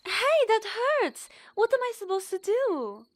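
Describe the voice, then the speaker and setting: A woman playing a young, plucky female operative in an audio drama, girlish, playful and quick to complain. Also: very high voice, big pitch swings, no echo, heavily acted. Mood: indignant.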